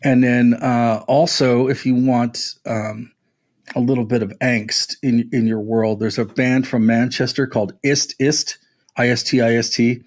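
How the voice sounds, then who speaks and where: A man's voice: mid-range voice, little pitch movement, measured pace. A man talking casually and at length as a music podcast panelist, with an enthusiastic, discursive manner.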